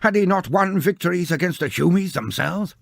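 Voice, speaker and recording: A man's voice: sits high, animated, fairly quick, vocal fry, no room sound, studio quality. A man narrating a scripted mock-epic fantasy tale in a grandiose, theatrical manner with ornate delivery. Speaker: storyteller, narrator.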